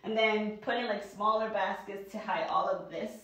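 A woman's voice: medium pitch, fairly even intonation, moderate pace, a bit bright, distant mic. Chatty woman in echoey empty rooms. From New York. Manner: chatty, rambling with many ums and likes. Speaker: vlogger giving a home tour. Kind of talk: casual walking house tour. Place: indoors, sparsely furnished new house. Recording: echoey bare rooms, voice off-mic.

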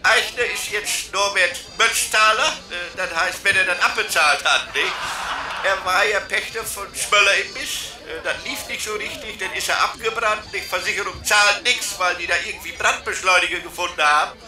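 An actor playing a tactless, rambling ship announcer who gossips bluntly in a radio comedy. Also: male voice, high-pitched, normal speed, roomy sound, music in the background, natural voice. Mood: gossipy. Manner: rambling, chatty, blunt, tags sentences with "ne". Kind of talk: radio comedy sketch interview.

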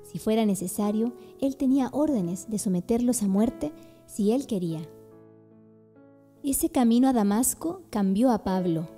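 Very mellow woman, sermon-like narration. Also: on the high side, expressive, unhurried, faint music behind.

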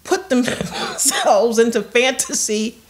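An older woman talks on a panel on a concert-hall stage. Her voice sits fairly high. She muses aloud, laughs often and lets sentences trail off. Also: animated, slow pace. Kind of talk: panel discussion.

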